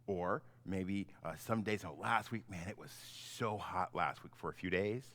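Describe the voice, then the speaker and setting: A middle-aged man, a pastor, giving an informal, halting children's message in simple, conversational words. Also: low voice, fairly bright tone. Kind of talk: gentle children's sermon, informal storytelling.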